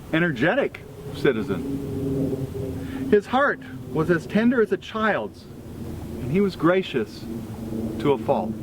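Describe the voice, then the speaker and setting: American guy reading an old obituary out loud, kinda high voice, slow solemn phrasing, noisy outside with traffic. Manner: deliberate, solemn, phrase-by-phrase delivery. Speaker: freemason reader at a memorial event. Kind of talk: formal read-aloud obituary tribute. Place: outdoors at a cemetery event. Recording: clear ambient outdoor noise with vehicles.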